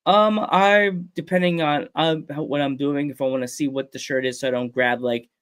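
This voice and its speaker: A man's voice: on the high side, steady tone, normal speed, a bit bright, smooth, dry recording, studio quality. A man with a stuffed-up nose, hosting a podcast and chatting casually, laughing often. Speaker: podcast host. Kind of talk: casual chat.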